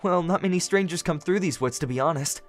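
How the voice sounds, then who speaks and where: A woman playing a gentle, sheltered young forest man, shy and earnest, in an audio drama. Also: on the low side, fast talker, faint music behind, close to an everyday voice. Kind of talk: fan audio drama acting.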